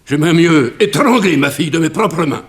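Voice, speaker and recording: A man's voice: on the high side, lively intonation, a little fast, close to an everyday voice. An actor playing an anxious, strict middle-aged immigrant father in a 1960s French radio drama, hesitant and stumbling over his words. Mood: stern, indignant.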